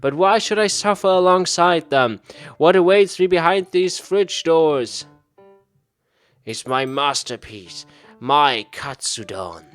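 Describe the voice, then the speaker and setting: A man's voice: on the high side, animated, slow, a bit bright, faint music behind, voice pushed up. A man voicing an earnest, food-obsessed office worker from a visual novel, dramatic and easily wounded. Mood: proud, savoring. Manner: dramatic, savoring descriptions.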